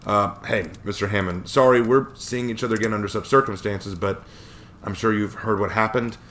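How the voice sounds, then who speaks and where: A man reading a story aloud and voicing a steady, protective young military man close to his own deep voice. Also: fairly quick, a little hiss or hum, lightly acted. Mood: earnest.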